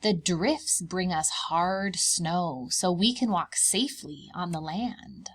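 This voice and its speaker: A woman voicing a gentle, reassuring mother polar bear in a storybook, patient and soothing. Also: lowish voice, takes it slowly. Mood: gentle reassurance.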